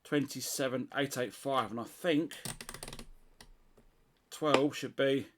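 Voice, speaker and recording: A man's voice: medium pitch, fairly even intonation, slow. A middle-aged British man with a mid-range voice gives an informal, hands-on demonstration. He speaks unscripted, working things out aloud as he tests. Noticeable noise and small clicks sit behind his voice. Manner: unscripted, thinking aloud while testing. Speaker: CB radio hobbyist presenter.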